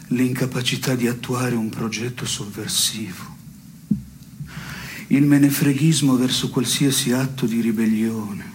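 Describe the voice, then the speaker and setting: An actor playing a deadpan, solemn man in a theatre dialogue, speaking deliberately with halting pauses. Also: medium pitch, close to an everyday voice. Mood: grave, deliberate. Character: deadpan man solemnly pondering what should die. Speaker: stage actor. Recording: clearly noisy background.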